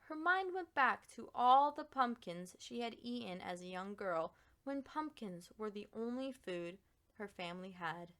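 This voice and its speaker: Bright, animated woman storyteller, unhurried. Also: medium pitch. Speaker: picture book reader. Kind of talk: expressive read-aloud storytelling for children.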